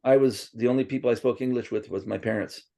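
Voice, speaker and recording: Gravelly-voiced man reminiscing. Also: medium pitch, fairly even intonation, fast talker, warm tone. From born in Princeton, raised partly in Tokyo. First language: Swedish. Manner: rambling, many restarts and asides. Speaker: interviewee. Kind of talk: casual reminiscing interview.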